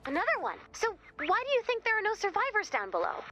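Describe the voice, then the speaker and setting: A very high, perky young-sounding explorer. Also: female voice, wildly swooping pitch, fast talker, dull audio, soft background music, full character voice. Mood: curious, eager. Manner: eager, inquisitive. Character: perky, curious, focused female explorer. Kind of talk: video game voice acting.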